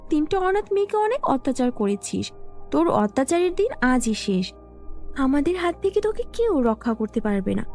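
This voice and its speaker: Woman as a fierce proud princess in a cartoon fairy tale, high voice, soft music behind. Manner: indignant, commanding. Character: proud angry young princess, defender of orphans.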